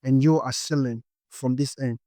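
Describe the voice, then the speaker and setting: Nigerian-accented male instructor, mid pitch, patient step-by-step delivery, dry close sound. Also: steady tone, normal speed, warm tone, no room sound. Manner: explains step by step, tags lines with "okay?". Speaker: forex trading instructor. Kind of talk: explanatory course lesson.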